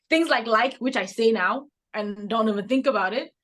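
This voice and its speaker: Woman, 30s, Cameroonian-English accent with an American lean, lively reflective guest. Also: a little high, unhurried. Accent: Cameroonian English, softened toward American. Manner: reflective, animated storytelling with frequent laughs. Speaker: podcast guest. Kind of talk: casual interview conversation.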